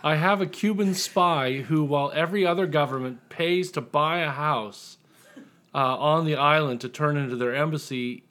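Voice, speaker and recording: A man's voice: fairly high pitch, moderate pace, fairly bright tone. A man chatting casually as an author guest in an interview, telling his story in a wry, dry way.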